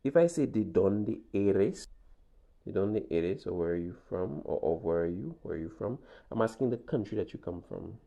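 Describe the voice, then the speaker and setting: A man's voice: on the low side, lively intonation, normal speed, creaky, warm tone, dull audio. A man teaching a Spanish lesson, patiently walking through examples and checking in with "okay?" as he goes. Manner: patient, repeats points, frequent "okay?" checks. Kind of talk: classroom lesson walkthrough.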